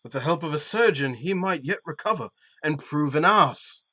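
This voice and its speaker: Audio-play role: teasing aristocrat, acted by a man, lightly high male voice, wry wit, classical comedy. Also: expressive, moderate pace, bright, forceful tone. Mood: dry mockery. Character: witty, mocking nobleman heckling a play. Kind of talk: Shakespearean audio play acting.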